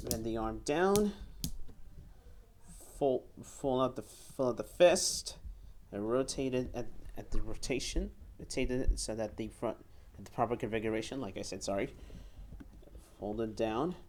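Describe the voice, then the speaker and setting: Mellow, halting young man demonstrating a toy. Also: mid-range voice, lively intonation, slight background noise. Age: young adult. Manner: halting, often repeats and corrects himself. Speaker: toy reviewer. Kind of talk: hands-on demonstration, step-by-step instructions. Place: small room at home, indoors.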